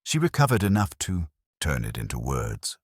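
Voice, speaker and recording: Man, audiobook narrator, very deep husky voice, wry and measured first-person detective story, dry close sound. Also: huge pitch swings, no echo.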